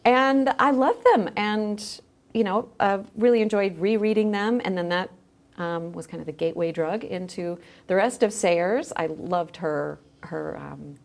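A middle-aged woman talks as an interview guest with a scholarly bent. Her voice sits at a medium pitch, and she explains things thoughtfully in a conversational way. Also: measured pace, creaky. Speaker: guest, theology scholar with drama background. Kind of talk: thoughtful podcast interview talk.

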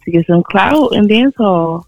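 Lively young woman with a gravelly voice. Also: mid-range voice, lo-fi sound. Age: 20s. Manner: animated, slangy, quick to laugh and shout. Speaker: guest. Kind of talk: casual chat.

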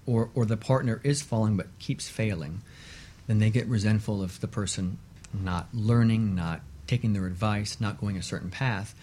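Low-voiced man explaining on a talk show. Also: moderate pace, darkish tone, slight background noise. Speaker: expert guest.

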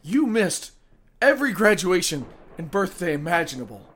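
An actor playing a bitter, grieving young son in an audio drama. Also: male voice, high-pitched, very animated, normal speed, fairly bright tone, breathy edge, heavily acted. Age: young adult.

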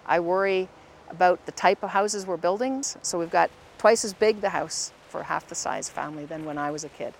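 A middle-aged woman voices her worries in a reflective way. Her voice is gravelly, and faint noise is heard. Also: lowish voice, normal speed, low-quality recording. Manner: concerned, reflective. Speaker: interviewed voter.

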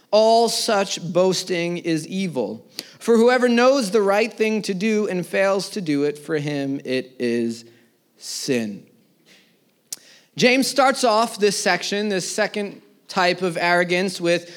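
High-voiced man in his thirties preaching. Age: 30s. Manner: preaching with swelling emphasis that sinks to quiet phrase endings. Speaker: pastor. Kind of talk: sermon. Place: church service before a live congregation.

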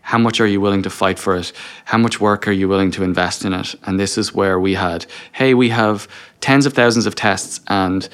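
A man's voice: sits low, fairly even intonation, moderate pace, fairly bright tone. A man talking as a podcast guest in a conversational interview, explaining things by posing questions and voicing inner dialogue. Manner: explains through self-posed questions and quoted inner dialogue. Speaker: podcast guest, engineering leader.

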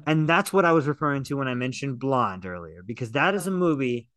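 Man, podcast co-host, excited swearing movie talk, rambling, dry close sound. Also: mid-range voice, fairly quick, no echo. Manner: enthusiastic, profane, rambling with restarts. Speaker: podcast host, film reviewer. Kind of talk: casual chat.

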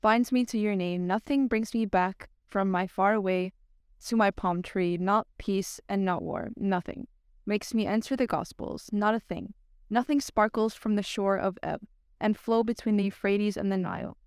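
Young American female student guest, earnest and articulate, smooth tone, dry recording. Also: medium pitch, moderate pace, darkish tone, no room sound. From United States. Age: young adult. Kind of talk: podcast guest chat and poetry reading.

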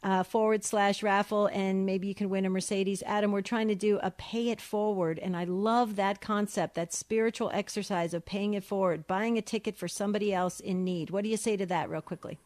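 A woman with a New Jersey accent hosting a call-in radio show, talking briskly and upbeat as she hurries through questions and announcements. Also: mid-range voice, fairly even intonation, a little mellow, no room sound.

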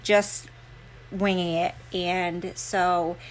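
A woman with a Rhode Island accent co-hosting a casual podcast, chatty and quick to laugh, with plenty of fillers. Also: lowish voice, flat delivery. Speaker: podcast co-host. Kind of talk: casual chat.

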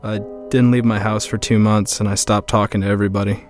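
A man's voice: lowish voice, little pitch movement, fairly quick, a little mellow, lightly acted. A man playing a lonely, heartbroken boyfriend who tells his story in a conversational, confiding way in an audio drama. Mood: lonely, subdued. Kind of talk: first-person narration in an audio drama. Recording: music under the voice.

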